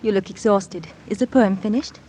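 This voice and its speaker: A neglected wife played by a female actor in a mid-century radio drama. Her medium-pitched voice is restrained and gently probing, with quiet hurt in it, on an old recording with faint noise. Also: normal speed, close to an everyday voice.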